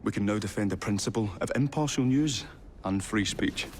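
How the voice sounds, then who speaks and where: A man's voice: on the low side, normal speed, close to an everyday voice. Man as flustered Victorian inventor, some background noise. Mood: grateful. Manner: flustered, hesitant, old-fashioned polite. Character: earnest, flustered, courteous Victorian male inventor. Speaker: game character actor. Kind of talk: video game cutscene acting.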